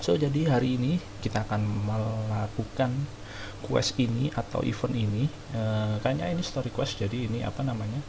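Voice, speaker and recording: A man's voice: mid-range voice, a little fast, gravelly, background noise. A young man with an Indonesian accent giving casual, slangy let's play commentary. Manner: informal, slangy. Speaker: gaming YouTuber.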